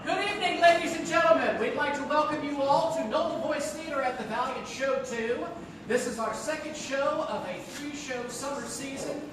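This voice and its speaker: A woman with a high-pitched voice welcomes an audience as the host of a stage show. She is picked up from a distance in a heavily reverberant theater. Clear background noise sits around her announcements. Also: normal speed. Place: small theater stage before a live audience. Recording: roomy echoing theater, distant mic, noisy.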